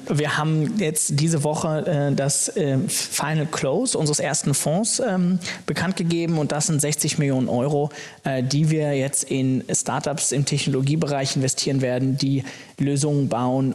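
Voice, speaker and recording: A man's voice: medium pitch, fairly even intonation, normal speed, darkish tone, long reverb tail. A man from Germany speaking conversationally as a guest in a business podcast interview. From Berlin, Germany. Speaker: interview guest, venture capital founder.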